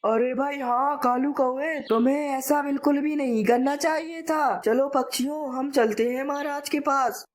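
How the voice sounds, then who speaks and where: Woman as high-pitched scolding little cartoon bird. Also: steady tone, moderate pace, hardly any pauses, close to an everyday voice. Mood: indignant. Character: indignant forest bird, scolding, small. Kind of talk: children's cartoon dialogue.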